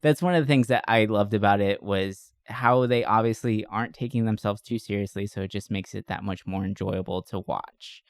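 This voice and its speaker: A man's voice: on the low side, moderate pace, hardly any pauses, dry recording. A man co-hosting a podcast in an enthusiastic, informal chat, swearing casually. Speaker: podcast co-host. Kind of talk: casual chat about movies.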